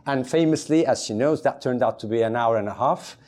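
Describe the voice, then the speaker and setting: Rambling man from Turkey, mellow voice. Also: mid-range voice, brisk. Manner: rambling, frequent fillers and restarts. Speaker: podcast guest, community advisor. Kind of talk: casual chat.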